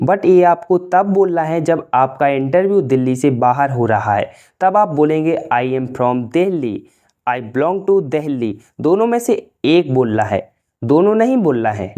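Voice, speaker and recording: A young man teaching spoken English, slowly drilling and repeating model sentences. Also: on the high side, warm tone.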